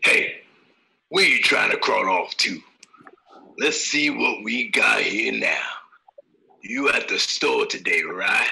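A man playing a brutal, foul-mouthed Western outlaw father in a radio drama, with a gravelly drawl and a menacing manner. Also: on the low side, slow pace, long pauses, pushed and bright, heavily acted, low-quality recording. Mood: cruel gloating. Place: home, on a group video call.

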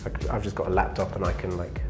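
A man in his thirties from England, answering interview questions in a relaxed, easygoing way with plenty of fillers, on a lapel mic in an indoor lounge. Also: deep voice, very fast, music in the background. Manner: relaxed, laughs easily, many fillers. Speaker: interview guest, engineering manager.

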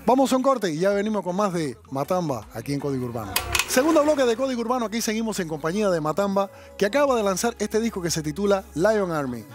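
A man's voice: high-pitched, big pitch swings, fast. A man in his thirties hosting a conversational television interview on a headset in a studio. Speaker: TV interviewer, host.

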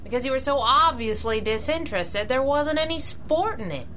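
A woman's voice: sits high, expressive, moderate pace, a bit bright, a little hiss or hum. A woman playing a wisecracking young sidekick and driver, teasing and wry, in a 1930s-style radio drama.

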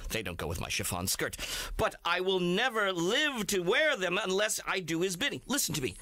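Casting: actress as haughty female alien servant, scheming, wildly animated pitch, bright tone, sci-fi comedy. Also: normal speed, dry recording. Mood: scornful. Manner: haughty, theatrical, fawning to master. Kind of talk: zany sci-fi audio comedy acting.

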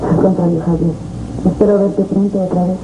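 A woman's voice: lowish voice, little pitch movement, brisk, roomy sound, muffled recording, natural voice. A woman playing a kind, sympathetic, encouraging friend in a 1970s telenovela. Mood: supportive. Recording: noisy old TV videotape.

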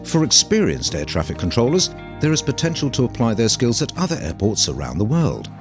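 A man's voice: medium pitch, wildly swooping pitch, brisk. A man reading scripted documentary narration in a formal, informative manner. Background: guitar music.

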